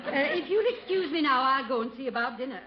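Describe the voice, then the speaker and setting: A gracious middle-aged hostess in an old radio comedy, speaks politely in a high, very lively voice. The recording is muffled. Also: female voice, fast, a crowd in the background. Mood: polite, hostly. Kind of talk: 1950s radio comedy acting.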